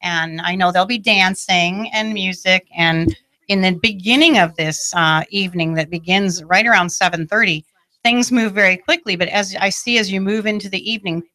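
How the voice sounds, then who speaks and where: Woman radio host, kinda low but bright punchy voice, dry studio mic, gushing about local events. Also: moderate pace, no room sound, rough recording. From not from the area where she hosts. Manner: enthusiastic, talks up local events. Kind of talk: radio interview.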